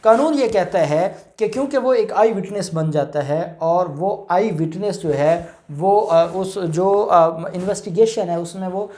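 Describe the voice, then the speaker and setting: A man's voice: high-pitched, unhurried, hardly any pauses, a little mellow. A Pakistani man speaking as a lawyer, explaining legal points informally, repeating key phrases and mixing in English terms. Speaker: lawyer, guest expert. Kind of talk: informal legal explanation in question-and-answer show.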